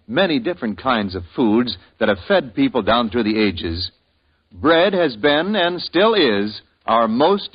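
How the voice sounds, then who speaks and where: A vintage radio announcer with a mid-pitched, animated voice, reading formal copy in a measured way. The recording has the dull sound of an old broadcast. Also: male voice.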